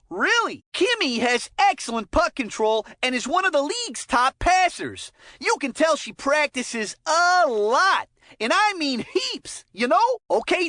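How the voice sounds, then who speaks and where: Goofy young hockey announcer for a kids game, high voice, super bouncy pitch, folksy and chatty. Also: female voice, fairly bright tone, dry recording, clean studio sound, exaggerated voice. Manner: chatty, folksy, peppered with catchphrases. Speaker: sports commentator. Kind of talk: animated scripted video game sports commentary.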